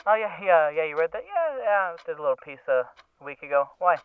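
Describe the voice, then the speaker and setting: Breezy newspaperwoman, game role, played by a man: quick, animated, casual, tinny phone-like audio. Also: on the high side, clean tone, no echo. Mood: curious, offhand. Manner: casual, rapid, offhand. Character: breezy, brisk female newspaper reporter. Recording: thin, phone-like radio sound.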